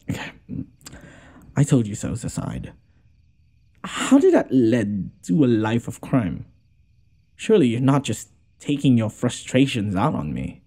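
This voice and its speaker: A man's voice: medium pitch, huge pitch swings, slow, pauses often, mellow, breathy edge, heavily acted. A man playing a haughty, wounded noble lord in an audio roleplay, with a theatrical, sarcastic and exasperated delivery. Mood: mocking. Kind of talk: scripted audio roleplay addressed to the listener.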